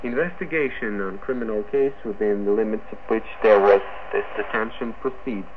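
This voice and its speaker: Guy reading news on shortwave, formal and a bit halting, phone-like narrow sound w some static. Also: fairly low pitch. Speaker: radio news presenter. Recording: noisy, narrow-band shortwave radio broadcast.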